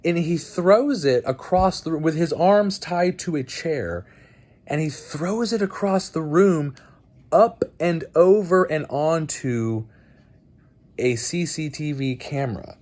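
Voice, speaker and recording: A man hosting a casual movie review vlog, speaking bluntly in a halting, conversational way. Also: on the high side, lively intonation, a little mellow. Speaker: host of a movie night video channel.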